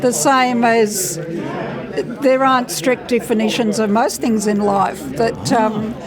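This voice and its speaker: A woman, a doctor, giving measured, explanatory answers in a conversational interview. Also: a little high, fairly even intonation, crowd noise. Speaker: doctor and guest speaker being interviewed.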